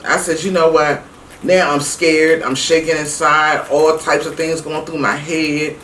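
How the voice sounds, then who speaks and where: A middle-aged woman telling a personal story casually to her viewers, emphatic and animated, acting out the dialogue as she goes. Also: deep voice, unhurried. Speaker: vlog host. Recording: roomy, distant mic with faint noise.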